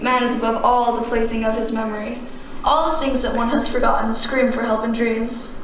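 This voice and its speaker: A woman playing an aloof, dreamy young artist in a stage drama, speaking in measured, formal, cryptic philosophical lines. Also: on the high side, level tone, heavy reverb, recorded from a distance, slight background noise, natural voice, poor audio. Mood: dreamy, intense. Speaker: amateur stage actor. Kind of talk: radio-style stage drama.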